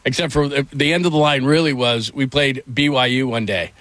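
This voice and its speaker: A man's voice: mid-range voice, steady tone, fairly quick, a bit bright, dry recording. Man, middle-aged radio host, rambling, self-mocking, dry studio sound. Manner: rambling, self-deprecating storytelling with many restarts. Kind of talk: casual morning radio chat.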